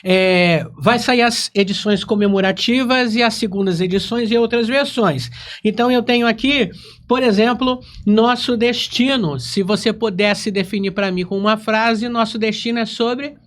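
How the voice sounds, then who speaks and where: A man's voice: sits high, normal speed, a bit bright. A man hosting a casual interview, chatting in an informal, playful and teasing way. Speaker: interview host.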